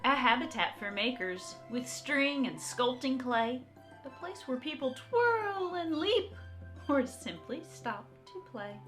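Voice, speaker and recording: A woman art teacher reading aloud and talking to young children in an encouraging, expressive, direct way. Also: high voice, takes it slowly, soft background music. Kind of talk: children's read-aloud and talk to young kids.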